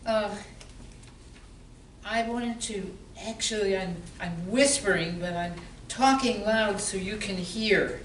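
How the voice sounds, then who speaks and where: Woman acting slow-talking undercover barmaid, echoey room. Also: medium pitch, close to an everyday voice. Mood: nervous. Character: flirty barmaid who is secretly an undercover cop. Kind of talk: amateur radio play acting. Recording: roomy, distant mic, faint hiss.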